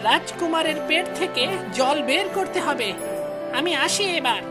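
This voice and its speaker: Grumpy helpful jackal, cartoon animal, really squeaky high, music behind. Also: female voice, animated, unhurried. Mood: grudging, annoyed. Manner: grumbling. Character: grumbling but kind talking jackal, reluctant helper. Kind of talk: cartoon fairy-tale voice acting.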